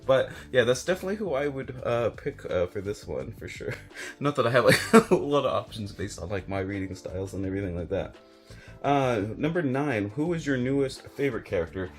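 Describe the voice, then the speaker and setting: American male book reviewer, low-pitched, casual and meandering delivery, faint music underneath. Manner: rambling, hedging, thinking aloud. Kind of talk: casual chat to camera about books.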